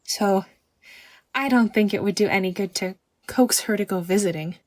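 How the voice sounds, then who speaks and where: A woman's voice: mid-range voice, normal speed, darkish tone, no echo, close to an everyday voice. A woman voicing an anxious, devoted young maidservant girl in an audiobook, with an earnest, deferential, folksy rural way of talking. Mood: glum, earnest. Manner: rustic folksy dialect, deferential, breathlessly earnest. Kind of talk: audiobook character acting.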